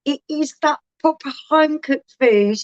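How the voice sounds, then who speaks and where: A woman chatting casually on a home livestream, quick and laughing often. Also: high-pitched. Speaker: co-host of a beer livestream. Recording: dull lo-fi livestream audio.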